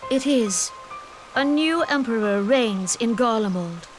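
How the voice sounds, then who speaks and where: A woman voicing a composed, authoritative counsellor in a fantasy game cutscene, speaking in a measured, formal, deliberate way. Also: on the high side, lively intonation, faint music behind. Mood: grave, assured. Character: composed, authoritative woman counsellor briefing allies on imperial politics.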